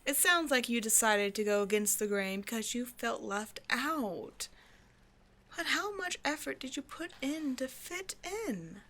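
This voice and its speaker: A woman voicing a cold, century-old female vampire scientist in an audio roleplay, speaking in a dry, measured, condescending way straight to the listener. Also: on the high side, fairly bright tone, a little hiss or hum, lightly acted. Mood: cold, dismissive. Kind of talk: scripted ASMR roleplay monologue, speaking to a listener.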